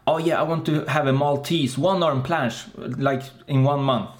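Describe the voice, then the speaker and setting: A man's voice: on the high side, fairly even intonation, normal speed. A man hosting a casual online interview in an enthusiastic, informal way. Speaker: interviewer and show host.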